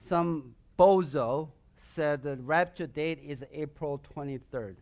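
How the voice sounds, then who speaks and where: Swedish accented pastor guy, fairly high voice, preaching hard, kinda muffled lo-fi recording. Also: takes it slowly, a little mellow. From Southern Sweden. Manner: emphatic preaching with rising bursts of intensity. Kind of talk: sermon.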